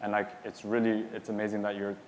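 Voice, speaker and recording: Low-voiced guy on a panel, rambly with lots of you-knows, echoey hall, bit of hiss. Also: completely flat delivery, quick pace, a little mellow. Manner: loose, full of "you know" and "like" fillers. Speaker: panelist and publisher. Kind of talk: casual spoken answer on a panel. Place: hall at a symposium. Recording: long reverb in a hall, faint noise.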